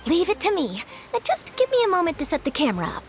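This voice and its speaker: A woman's voice: very high voice, huge pitch swings, fast, a little hiss or hum, exaggerated voice. A woman playing an eager, bubbly young newspaper reporter and photographer in an anime game. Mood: friendly, chatty. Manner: bubbly, exclamatory.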